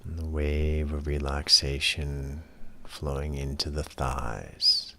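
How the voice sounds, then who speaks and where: Man, yoga relaxation guide, slow delivery, crisp bright edge, calm, measured, soothing. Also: very deep voice. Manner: calm, measured, soothing instruction with long pauses. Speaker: yoga and meditation teacher.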